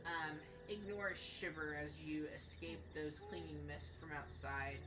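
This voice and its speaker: A woman's voice: low voice, a little slow. A woman narrating as dungeon master in a rambling, casual way, full of fillers. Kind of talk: casual tabletop game narration. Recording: distant mic with loud music behind.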